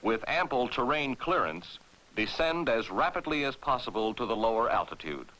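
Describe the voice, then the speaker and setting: An American man narrating a 1960s military training film in a formal, authoritative, clearly enunciated way, on a vintage film soundtrack. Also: medium pitch, very animated, normal speed, sharp tone, poor audio.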